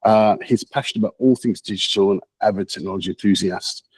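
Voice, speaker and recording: A man hosting a meetup, introducing the guest speaker in a halting way with many repeats and restarts. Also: lowish voice, steady tone, a little mellow, husky, no room sound. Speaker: meetup host.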